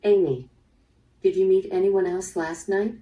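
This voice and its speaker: A woman playing a probing, businesslike police detective in an interactive radio drama, measured in her questioning. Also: mid-range voice, steady tone, dark tone, lightly acted. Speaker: voice actor in interactive audio drama. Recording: played through speaker, slightly distant.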